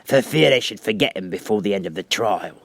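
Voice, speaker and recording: A man voicing a gryphon, a storybook beast, in a hushed, matter-of-fact, confiding manner. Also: lowish voice, animated, fairly quick, creaky, dry recording, exaggerated voice.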